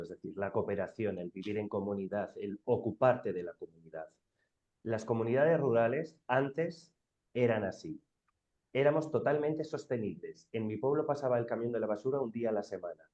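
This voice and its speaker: A man with a low voice and a northern Spanish accent talks informally on a panel. He is emphatic and colloquial, often posing a question before answering it. The recording is somewhat muffled and lo-fi. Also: warm tone. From Bilbao, Spain. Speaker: round-table panelist.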